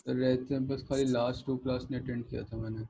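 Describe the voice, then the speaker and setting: A male student with a sore throat giving quiet, short replies in an online class. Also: mid-range voice, flat delivery, fast talker, creaky, noisy background. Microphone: video call. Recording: faint distant video call.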